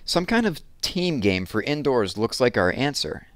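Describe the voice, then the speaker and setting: A man's voice: medium pitch, big pitch swings, moderate pace, no echo, voice pushed up, lightly acted. Earnest young male instructor, read by a man. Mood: steady, eager. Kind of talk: children's story dialogue.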